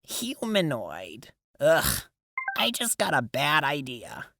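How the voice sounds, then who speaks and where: A woman plays a friendly, earnest teenage girl in an audio drama. Her delivery is animated but slow, slightly scatterbrained, with music clearly underneath. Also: low voice, full character voice.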